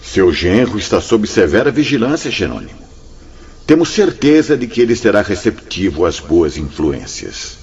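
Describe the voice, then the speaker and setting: A Brazilian actor playing a kindly, paternal spirit director in a radio drama, speaking formally and solemnly with gentle reassurance. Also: male voice, fairly low pitch, big pitch swings, normal speed, breathy edge, heavily acted. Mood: gentle, reassuring. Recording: dull radio drama sound with music.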